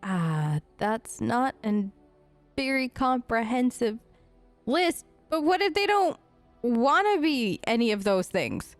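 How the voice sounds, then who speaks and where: A woman's voice: fairly high pitch, big pitch swings, slow, dry recording, faint music behind. A woman doing playful, informal role-play commentary as she hosts a video game let's play, chatting in character. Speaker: gaming host.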